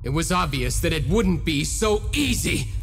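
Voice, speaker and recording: A man playing an earnest, determined heroic fighter in a video game cutscene, speaking with measured solemnity. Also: on the high side, big pitch swings. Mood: wary, defiant. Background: background music.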